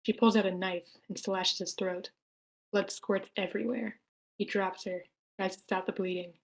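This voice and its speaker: A woman's voice: medium pitch, steady tone, moderate pace. A woman reading stage directions in a matter-of-fact way at a screenplay table read.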